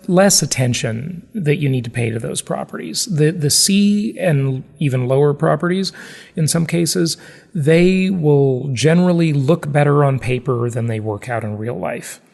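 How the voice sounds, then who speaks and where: Male podcast host, conversational and emphatic, with creaky delivery and long reverb on the recording. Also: on the high side, a little mellow. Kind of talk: casual conversational podcast talk. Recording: reverberant hall-like echo.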